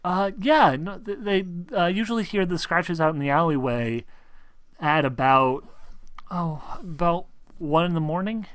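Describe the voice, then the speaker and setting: A nervous, worried woman played by a man in a tabletop roleplaying game. The voice is bright and dry, with hesitant, everyday conversational phrasing. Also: a little high, measured pace, no room sound.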